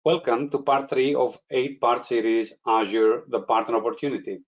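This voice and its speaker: A man's voice: medium pitch, level tone, normal speed, lo-fi sound. A man hosting a business webinar, speaking hesitantly with many "uh" fillers. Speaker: webinar host. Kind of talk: webinar presentation.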